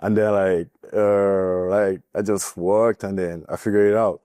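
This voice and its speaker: A young man from Cameroon, a college basketball player giving a podcast interview, speaking haltingly with many fillers. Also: low voice, fairly even intonation, dark tone, clear, resonant tone, dry recording, studio quality. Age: young adult. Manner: halting, filler-heavy, nervous.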